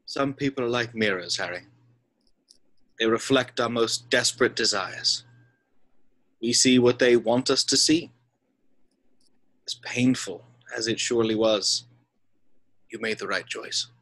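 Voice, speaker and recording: Male actor as elderly wizard, gentle, wise, mid-pitched, measured delivery, deliberate pauses, fantasy table read. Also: rough recording.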